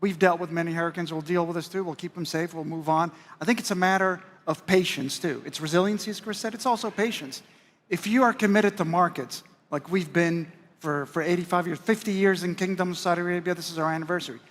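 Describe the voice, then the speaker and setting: A man's voice: high voice, fast, a bit bright. Man, business panelist, conversational, lingering hall reverb. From United States. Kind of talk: panel discussion. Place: large conference hall stage.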